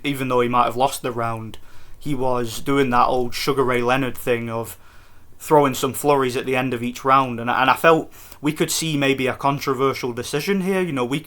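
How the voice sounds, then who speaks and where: Man, Scottish-born, vlogger, casual review, excited, rambling, tense bright tone. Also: medium pitch. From Scotland. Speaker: boxing vlogger. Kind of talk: casual post-fight review.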